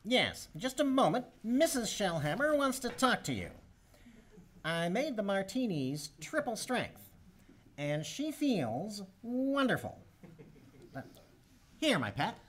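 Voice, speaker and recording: A man's voice: high voice, big pitch swings, slow, pauses often, fairly bright tone, heavily acted. A man playing an indulgent husband who patiently coaxes his wife, in radio play acting. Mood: amused, confiding.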